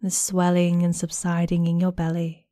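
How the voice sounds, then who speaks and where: Soft soothing lady doing guided meditation, lowish mellow voice, really unhurried. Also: monotone, no room sound, clean studio sound. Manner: gentle, soothing, unhurried with long pauses. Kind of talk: guided body scan meditation narration.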